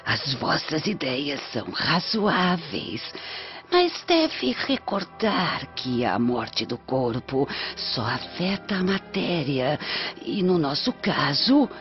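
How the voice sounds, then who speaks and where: A woman playing a scheming, menacing old witch in a radio drama, with a Brazilian accent and slow, theatrical delivery. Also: sits low, sing-song, full character voice. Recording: muffled radio drama, music underneath.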